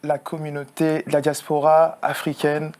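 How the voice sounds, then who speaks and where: A man from France, a film producer, answering a TV interview in an earnest, halting way. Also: a little high, monotone, a little mellow. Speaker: film producer and actor, guest. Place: TV studio.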